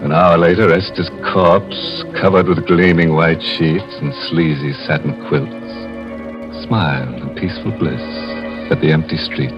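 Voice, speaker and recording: An actor playing an ominous, macabre storyteller in a 1950s radio horror play, dramatic and deliberate. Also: male voice, rumbling low, fairly even intonation. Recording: muffled old radio, music underneath.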